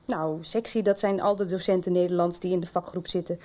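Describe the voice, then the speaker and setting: A woman's voice: on the low side, fairly even intonation, a little fast, warm tone, close to an everyday voice. A woman acting in a 1990s radio soap as a kindly, encouraging literature teacher. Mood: supportive, curious.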